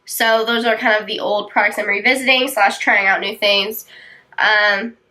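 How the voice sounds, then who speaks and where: A woman's voice: medium pitch, steady tone, moderate pace, pushed and bright, low-quality recording. A young woman vlogger with a congested, sick-sounding voice, chatting casually with lots of ums and likes while doing her makeup. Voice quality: tight, dry throat. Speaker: beauty vlogger. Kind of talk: casual get-ready-with-me chat.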